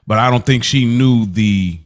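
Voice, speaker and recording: A man's voice: mid-range voice, unhurried. A young man co-hosting a podcast, chatting in an easygoing, thoughtful way and often murmuring agreement. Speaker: podcast co-host. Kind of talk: casual chat.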